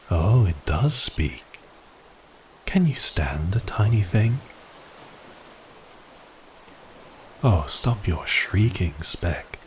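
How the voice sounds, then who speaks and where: A man playing a giant cursed sea beast prince in an ASMR audio roleplay, speaking in grand archaic phrases with a teasing, theatrical manner and a breathy voice that dips into whispers. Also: low voice, darkish tone, slight background noise. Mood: imperious, curious.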